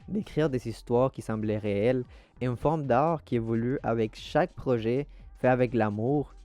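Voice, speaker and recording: Young man with a mellow voice. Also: medium pitch, no room sound. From abroad, immigrated to Canada. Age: young adult. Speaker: art school applicant presenting himself. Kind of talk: scripted personal video narration.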